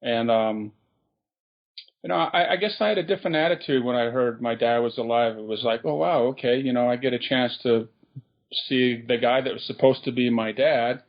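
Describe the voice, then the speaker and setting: A man from Brazil, a podcast guest telling his life story casually, pausing often with ums and uhs. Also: medium pitch, lo-fi sound. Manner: halting, with frequent ums and uhs.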